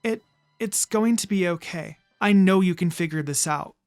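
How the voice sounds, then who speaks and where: Narrator doing a soft, timid young ninja girl. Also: mid-range voice, moderate pace, soft background music, lightly acted. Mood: comforting. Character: gentle, worried, timid teenage girl ninja.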